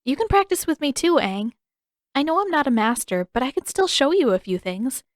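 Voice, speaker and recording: A woman's voice: high-pitched, very animated, brisk, no echo, studio quality, pitched above the performer's own voice. A woman voicing a stubborn, teasing teenage girl who bickers sarcastically in an audiobook story. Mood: prodding.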